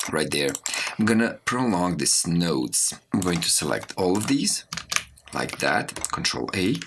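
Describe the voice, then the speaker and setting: Deep, gravelly man teaching step by step. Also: noisy background. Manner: explanatory, step-by-step. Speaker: audio production course instructor. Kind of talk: software tutorial narration. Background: mouse clicks and computer keyboard typing.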